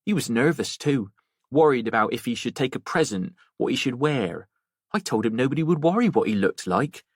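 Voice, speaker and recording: A man's voice: medium pitch, expressive, fairly quick, breathy edge, no echo, clean studio sound. A man voicing a talkative, rambling working-class woman gossiping in an audiobook. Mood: fond, gossipy. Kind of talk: audiobook character voice.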